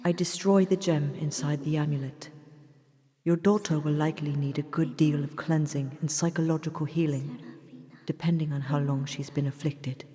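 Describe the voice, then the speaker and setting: Stern vampire princess-doctor, played by a woman: low, mellow delivery, composed and scornful, audio drama, long reverb. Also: steady tone, normal speed, close to an everyday voice. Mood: grave, clinical. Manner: composed, accusatory, dryly scornful. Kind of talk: scripted immersive audio drama. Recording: long reverberant hall sound.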